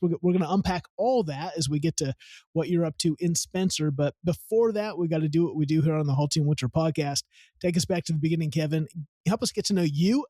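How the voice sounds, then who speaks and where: A man hosting a podcast about local government, reading a polished, earnest intro and then chatting warmly with his guest. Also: on the high side, dry recording. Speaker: podcast host. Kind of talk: scripted intro then conversational interview.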